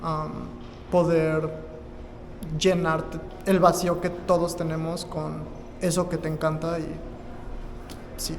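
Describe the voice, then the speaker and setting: A man answering street questions in a measured, reflective way. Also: fairly high pitch, little pitch movement. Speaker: interviewee. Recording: reverberant, noisy outdoor audio.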